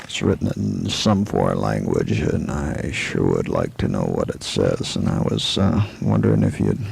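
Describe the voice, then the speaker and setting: A man playing a steady, weary frontier marshal in a western radio play, with a halting, folksy, deliberate delivery. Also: very deep voice, vocal fry, slight background noise, full character voice. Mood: measured.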